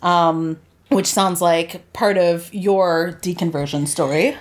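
A woman's voice: on the low side, fairly even intonation, takes it slowly. Young woman from chicagoland, casual podcast host, keeps giggling between bits. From Chicago area, Illinois. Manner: giggly, laughs often between phrases. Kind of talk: casual chat.

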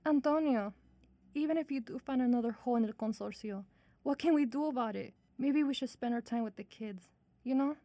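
A woman's voice: sits high, fairly quick, mellow, no echo. A woman playing a worried candy mother and wife, anxious and pleading, in an audio drama.

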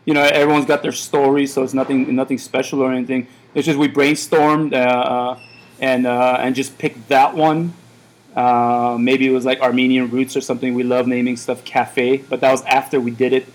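A man's voice: medium pitch, little pitch movement, moderate pace, rough recording. A man in his thirties, born in Iran, who runs a café, chatting casually in an interview and rambling with lots of fillers and restarts. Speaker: café owner.

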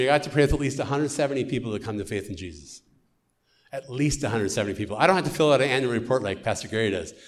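A middle-aged American man, a missionary, giving an earnest storytelling testimony in church. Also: medium pitch. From United States.

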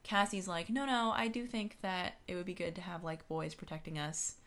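A woman's voice: fairly low pitch, fairly even intonation, normal speed, fairly bright tone. A woman co-hosting a podcast, chatting casually about books in an informal way. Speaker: podcast co-host. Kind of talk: casual book discussion.

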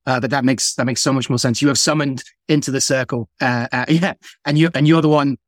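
A man's voice: medium pitch, fairly even intonation, fairly quick. A man talking in a conversational way, hesitant, with stammering restarts.

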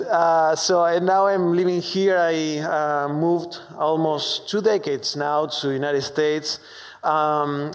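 A man from Argentina with a strong Argentine Spanish accent, preaching a sermon with warm, humorous, halting storytelling full of fillers. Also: a little high. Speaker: pastor. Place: church sanctuary. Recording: long hall reverb.

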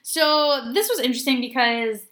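A young woman co-hosting a casual chat, reading notes aloud in a halting way with lots of filler words. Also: high voice, animated, a crowd in the background. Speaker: podcast co-host.

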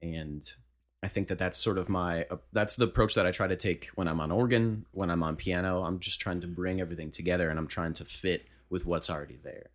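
A young man with a very low baritone voice, telling a story in a casual podcast chat. He speaks in a measured, anecdotal way. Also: fairly even intonation. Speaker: band singer on a podcast.

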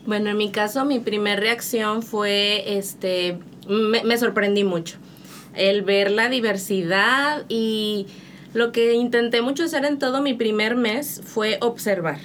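A woman from Mexico with a northern Mexican accent, giving measured, reflective answers as an interview guest. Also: mid-range voice, fairly even intonation, a bit bright, slight background noise. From Monterrey, Mexico.

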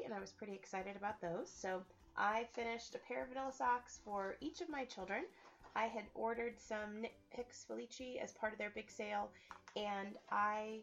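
A woman's voice: medium pitch, vocal fry. A woman hosting a podcast and chatting casually, rambling a little with frequent "um"s while she fights a cold. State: has a cold. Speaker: podcast host. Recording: slightly dull lo-fi recording.

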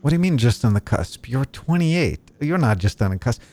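A man's voice: mid-range voice, sing-song, brisk, warm tone. A man in his forties with an Israeli accent and Hebrew as his first language, hosting a podcast in a chatty, joking way. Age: 40s. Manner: chatty, joking, many fillers. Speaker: podcast host. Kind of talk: casual podcast interview.

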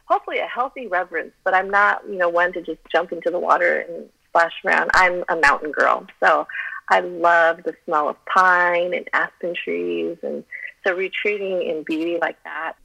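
A woman's voice: fairly low pitch, normal speed, creaky, bright, forceful tone. A middle-aged woman from Colorado whose first language was Chinese, chatting as a podcast guest with a giggly, self-deprecating manner. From Colorado, USA. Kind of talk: casual interview chat. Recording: phone line.

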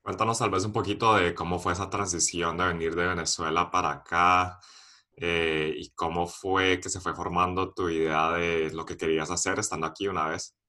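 A man hosting an interview podcast, opening the episode and asking the guest questions in a steady, welcoming way. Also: low voice, moderate pace. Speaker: host.

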